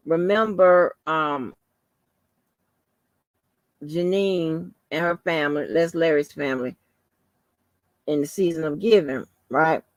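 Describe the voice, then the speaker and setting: Soft-voiced woman chatting slowly on a livestream. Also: low voice, no echo, highs cut off. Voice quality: soft, calming voice. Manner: rambling, informal, addresses viewers as y'all. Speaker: livestream host. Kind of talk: casual livestream chat with viewers.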